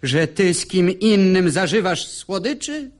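An actor playing a proud, wounded young lover, declaiming lofty verse in a classical radio comedy. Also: male voice, high-pitched, animated, a little slow. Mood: wounded pride.

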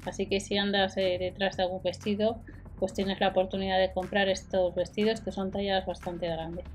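A woman talks viewers through a shopping catalogue, chatty and direct, reeling off prices and sizes. Her voice sits at a middle pitch. Faint music plays under the voice-over. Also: little pitch movement, moderate pace. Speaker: shopping video host. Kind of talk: narrated product walkthrough. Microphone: voice-over with a desk microphone.

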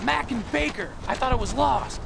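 An actor playing a jittery paratrooper in a war game, nervous and deferential, with loud battlefield noise behind him. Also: male voice, very high voice, normal speed, vocal fry, heavily acted. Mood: startled, relieved. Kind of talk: video game voice acting.

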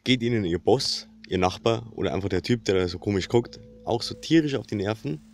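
Man playing ominous parody-ad announcer, very low voice, slight noise. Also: fairly quick, natural voice. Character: menacing deep-voiced male commercial announcer for killers. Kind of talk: parody commercial voice-over.

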